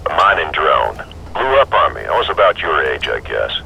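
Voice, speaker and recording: Gruff older ship's engineer played by a male actor: very low voice, folksy and clipped, probing, over noisy ship ambience. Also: big pitch swings, normal speed, sharp tone, full character voice. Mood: low, suspicious. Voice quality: gruff, gravelly.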